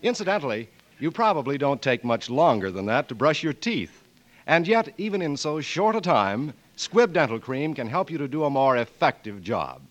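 A man's voice: fairly high pitch, very animated, normal speed. A man announcing in a 1940s radio style, reading scripted sponsor copy with polished, emphatic delivery.

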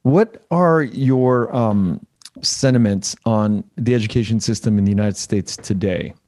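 A man's voice: fairly low pitch, unhurried, a little mellow. A man interviewing someone in a conversational way, feeling his way through questions and rephrasing them as he goes. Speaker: interviewer, host.

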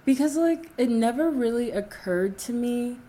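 A woman's voice: a little high, expressive, normal speed, warm tone. A young woman from the Bahamas co-hosting a podcast, chatting casually with many fillers. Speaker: podcast co-host. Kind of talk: casual interview chat.

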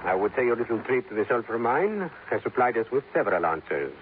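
An actor playing a courteous, methodical Venezuelan police captain, formal and deliberate, in 1950s radio drama style. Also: male voice, mid-range voice, sing-song, natural voice. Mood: methodical, satisfied. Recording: narrow-band 1950s radio recording, faint noise.

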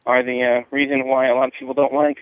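A man's voice: medium pitch, completely flat delivery, fast talker, noisy background. Muffled phone-line man, rambling and sweary. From New Jersey. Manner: profane, slangy, hesitant and rambling. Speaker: listener leaving a call-in message. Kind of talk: casual chat, rambling message.

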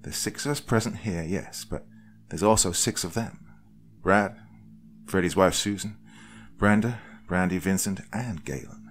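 A man's voice: low voice, measured pace, slight background noise. Man voicing a blunt, pragmatic woman. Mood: impatient.

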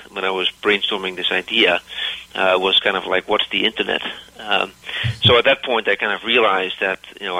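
A middle-aged man with a slight Dutch accent, a company founder answering interview questions in a measured way with many fillers. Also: low-pitched, bright, forceful tone, no echo, rough recording. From United States. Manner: measured, filler-heavy storytelling.

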